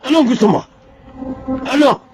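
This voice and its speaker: A man's voice: very high voice, normal speed, background noise. A man with a Filipino accent playing a stern, hot-tempered, commanding father from a family of doctors in a radio drama. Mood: angry.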